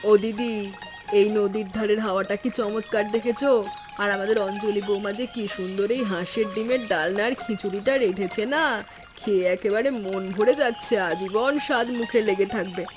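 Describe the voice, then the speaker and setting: A woman's voice: mid-range voice, fairly quick, close to an everyday voice. Woman as contented middle-aged auntie, chatty, gushing, cartoon family drama, music behind. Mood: delighted. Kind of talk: cartoon voice acting.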